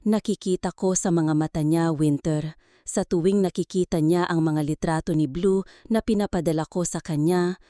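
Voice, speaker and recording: Woman plays kindly older gentleman in radio drama; soft-spoken, measured, mellow, dry sound. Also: fairly low pitch, no echo, close to an everyday voice. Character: gentle, reassuring older husband and grandfather, a wealthy don.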